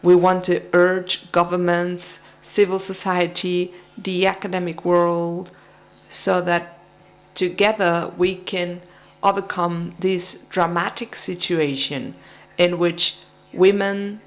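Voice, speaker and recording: A woman from Peru speaking slowly and deliberately at a conference. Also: lowish voice, flat delivery. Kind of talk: conference speech.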